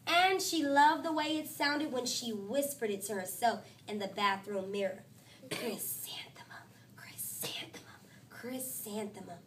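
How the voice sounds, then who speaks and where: A woman teacher reading a picture book aloud to her class, with lively, expressive storytelling. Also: measured pace, distant mic, a little hiss or hum. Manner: expressive storytelling, voices quotes higher and louder.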